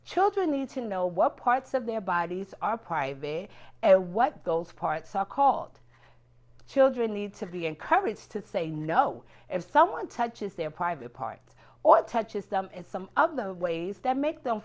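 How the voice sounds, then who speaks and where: Expressive woman presenting scripted advice. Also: mid-range voice, a little slow. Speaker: family support provider presenting. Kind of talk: scripted educational narration for parents.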